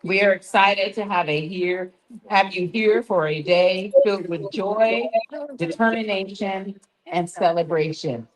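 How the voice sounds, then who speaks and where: Slow-paced woman giving a speech. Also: mid-range voice, low-quality recording. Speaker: college president. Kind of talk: formal welcome speech.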